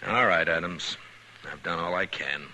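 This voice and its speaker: An actor playing a stern, weary frontier marshal with a blunt, authoritative manner in a 1950s radio western. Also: male voice, low-pitched, normal speed, sharp tone, slight background noise. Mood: weary, resigned. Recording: old 1950s radio recording.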